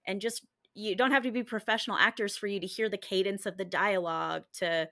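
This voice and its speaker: A woman's voice: medium pitch, steady tone, a little fast, fairly bright tone, no echo. A woman hosting a podcast, chatting casually and effusively with her guest on a close mic. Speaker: podcast host, actor and screenwriter. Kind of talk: casual conversational interview. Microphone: close mic on a remote podcast recording.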